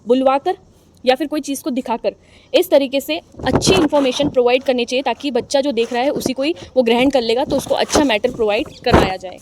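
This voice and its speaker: A young Indian woman teaching an exam-prep lesson, chatty and encouraging, who reads lines slowly and then explains them. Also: high-pitched, heavy background noise.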